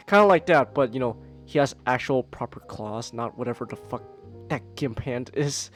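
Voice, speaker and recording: A man co-hosting a casual podcast, excitable and stammering, laughing often and breaking into squeaky character voices. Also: moderate pace, soft background music. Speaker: podcast co-host. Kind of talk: casual chat.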